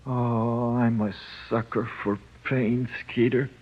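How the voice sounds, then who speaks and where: An actor playing a frightened, childlike condemned prisoner with slangy, uneducated speech in 1940s radio drama style. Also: male voice, medium pitch, flat delivery, slow. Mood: glum, nervous. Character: frightened, childlike condemned male convict awaiting execution. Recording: muffled, dull old radio recording.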